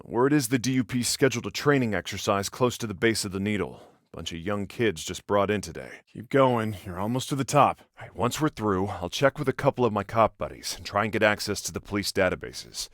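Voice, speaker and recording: A male actor plays an American police officer from a video game, a protective big-brother type with a sarcastic edge. His pitch moves around a lot as he cautions and needles. The recording is close and dry. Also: animated, brisk, few pauses, no echo, lightly acted. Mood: steady, encouraging. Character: exasperated protective adult cop, sarcastic older brother.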